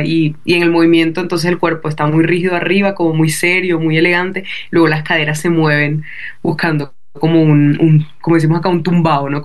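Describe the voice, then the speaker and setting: Colombian Caribbean woman, fairly even intonation. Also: fairly low pitch. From Barranquilla, Caribbean coast of Colombia. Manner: discursive, with frequent tag questions. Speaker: guest novelist. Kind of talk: casual conversational explanation.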